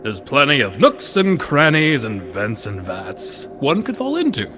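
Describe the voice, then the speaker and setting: A man's voice: medium pitch, wildly swooping pitch, unhurried. A man playing a sadistic pony doctor who guides a tour with theatrical, gloating mock courtesy in a horror story reading. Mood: polite menace. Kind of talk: dramatized horror fan fiction reading. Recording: long hall reverb, music behind.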